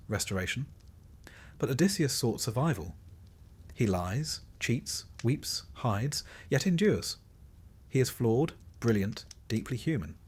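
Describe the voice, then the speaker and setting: A man narrating a scripted sleep story as a storyteller, in measured, literary phrasing with short dramatic pauses. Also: lowish voice.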